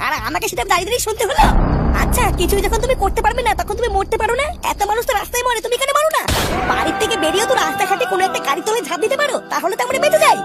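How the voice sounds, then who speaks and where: Bengali cartoon grandmother, very fast and wildly high, animated nagging and ranting. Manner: scolding, sarcastic, ranting. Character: furious, nagging old Bengali grandmother, bitter wife. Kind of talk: Bengali comedy cartoon dialogue.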